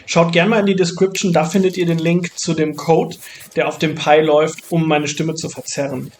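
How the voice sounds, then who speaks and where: A man narrates a craft build in a casual, explanatory way. His voice sits a little high and has a pronounced creaky fry. There is clear noise in the recording. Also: flat delivery, normal speed. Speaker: maker narrating a build video.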